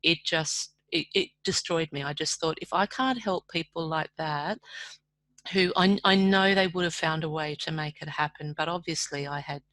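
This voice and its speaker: A woman's voice: sits low, moderate pace, a bit bright, no echo, low-quality recording. A middle-aged woman with an Australian accent, telling her personal story in a reflective, earnest, conversational way as an interview guest.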